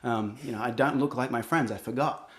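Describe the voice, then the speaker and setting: Earnest Australian man, halting and reflective. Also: medium pitch, fairly bright tone. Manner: earnest, halting, many restarts. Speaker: guest, singer-songwriter. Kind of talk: reflective interview talk.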